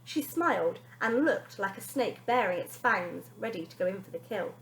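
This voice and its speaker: A woman teacher reading a children's story aloud in an expressive style. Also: mid-range voice, moderate pace, echoey room, low-quality recording.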